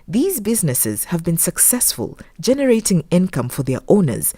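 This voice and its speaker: Female documentary narrator, low and mellow, with lively intonation in a scripted read. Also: moderate pace, breathy edge, dry recording, studio quality.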